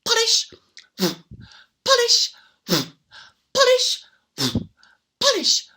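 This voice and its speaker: A woman telling a children's story in a theatrical, interactive way, with playful repeated sound words and drawn-out emphasis. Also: extremely high pitch, level tone, sharp tone. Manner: invites listeners to join in.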